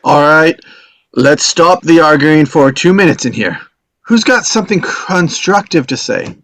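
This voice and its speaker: A man's voice: on the high side, lively intonation, unhurried, a bit bright. A man in a drama table read playing a fair-minded, earnest juror with a plain-spoken delivery. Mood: firm.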